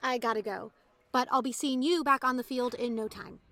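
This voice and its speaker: Woman acting high-pitched, outspoken teenage girl. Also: steady tone, moderate pace, sharp tone. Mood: reassuring. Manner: casual, griping, emphatic. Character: indignant, outspoken teenage schoolgirl, loyal best friend. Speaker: actor in audio drama. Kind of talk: naturalistic audio drama acting.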